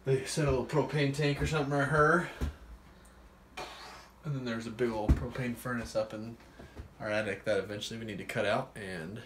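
A man's voice: mid-range voice, fairly even intonation, a little fast, long pauses, background noise. A man in his thirties with an American accent, teasing and joking while he works on a house renovation, filmed on a phone. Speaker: co-host, husband. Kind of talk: casual banter while working. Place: unfinished laundry room in a farmhouse. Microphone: phone camera. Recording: echoey room.

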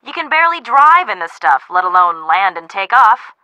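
An animated, bright young best friend. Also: female voice, mid-range voice, moderate pace, no echo, highs cut off. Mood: exasperated. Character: young woman, the detective's chatty, wary best friend on the phone. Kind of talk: video game voice acting.

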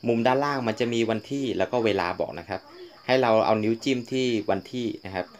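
A man in his thirties explaining things to camera in a polite, steady way, sitting outdoors on a tractor in farmland. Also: lowish voice, quick pace. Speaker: vlogger explaining a weather app. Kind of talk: casual instructional talk to camera.

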